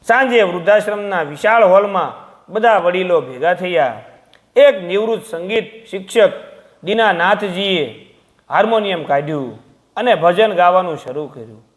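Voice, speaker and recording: A man's voice: sits high, expressive, moderate pace. A man narrating a sentimental moral story from a script, as a storyteller.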